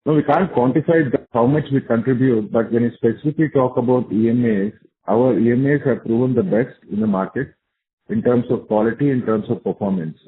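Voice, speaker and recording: A man's voice: mid-range voice, slight background noise. A man with an Indian accent speaks as a company executive answering questions on an earnings call, assured and repeating key points. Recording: narrow tinny phone conference line.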